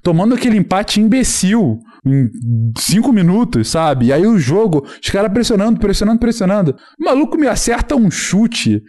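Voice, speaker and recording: A man in his thirties with a Carioca Brazilian accent, a podcast guest talking in a casual, animated and rambling way. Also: high voice, moderate pace. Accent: Carioca Brazilian Portuguese. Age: 30s. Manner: animated, rambling storyteller with many restarts and fillers. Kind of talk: casual chat.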